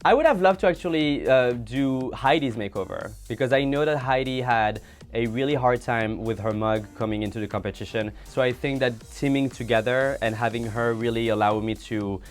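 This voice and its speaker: A man's voice: medium pitch, moderate pace, faint music behind. A man appearing as a guest on a casual chat show, speaking in an earnest, thoughtful way. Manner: earnest, thoughtful, laughs easily. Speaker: guest, drag performer.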